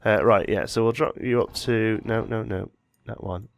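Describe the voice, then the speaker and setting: A man's voice: lowish voice, steady tone, moderate pace. Sniffly man musing over gameplay. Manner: thinks aloud, muttering and self-correcting while building. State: slight head cold, sniffly. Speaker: let's play host. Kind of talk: casual gameplay commentary.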